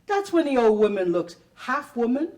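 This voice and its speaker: A woman giving a deliberate, theatrical poetry reading with pauses, at a live event. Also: fairly high pitch, big pitch swings, darkish tone, distant mic. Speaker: performer reading own poetry. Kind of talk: dramatic poetry reading to an audience.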